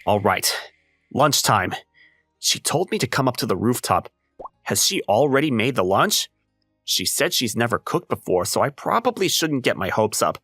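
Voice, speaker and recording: An exasperated high school boy acted by a man with a highly animated, swinging delivery. He is a flustered, introverted young writer from an anime comic dub who lectures dramatically, with music playing underneath. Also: normal speed, husky, no echo, clean studio sound, exaggerated voice. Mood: wry narrating. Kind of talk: anime-style comic dub acting with narration.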